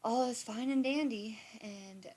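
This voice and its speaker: A woman's voice: on the high side, unhurried, a little mellow, slightly rough. A woman in her thirties from Montana, telling a story on a live stream in a rambling, chatty way, full of fillers, restarts and casual swearing. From Montana, USA. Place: home, indoors. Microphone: phone or webcam on a live stream.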